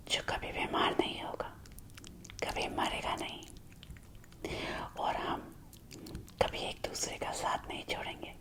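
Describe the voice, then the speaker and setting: A woman whispering as a protective, centuries-old female vampire girlfriend in an ASMR roleplay, hushed and intimate, close to a binaural mic. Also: natural voice. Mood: tender, tempting.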